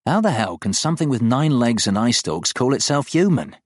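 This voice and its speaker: A man voicing a gruff, irritable countryman who talks in blunt, colloquial phrases. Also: fairly low pitch, normal speed, dry recording, clean studio sound, natural voice. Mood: indignant. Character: gruff, irritable countryman, hard-drinking and foul-mouthed.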